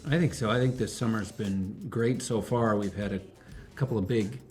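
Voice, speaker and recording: A man speaks with a low voice in a measured, upbeat way. Faint music runs underneath him. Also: a little mellow.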